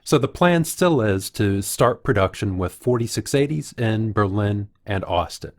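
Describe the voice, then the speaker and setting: Guy with a lowish voice doing analysis commentary, measured, explains stuff as he goes. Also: expressive. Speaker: video commentator. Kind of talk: analytical commentary, reading out quotes.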